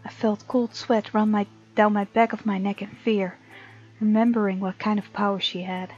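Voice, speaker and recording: A woman's voice: mid-range voice, level tone, normal speed, a little mellow, breathy edge. A woman hosting a let's play, reading game text aloud and chatting casually as she reacts to the story. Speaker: gaming video host. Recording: muffled lo-fi mic over game music. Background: game music.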